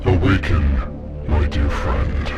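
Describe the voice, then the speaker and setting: Man playing a very deep, solemn herald. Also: fairly even intonation, husky, no echo, lo-fi sound, exaggerated voice. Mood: solemn, ominous. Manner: grave, deliberate, portentous. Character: solemn, portentous male herald of a future order. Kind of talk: dramatic scripted monologue. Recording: voice under loud music. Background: loud music.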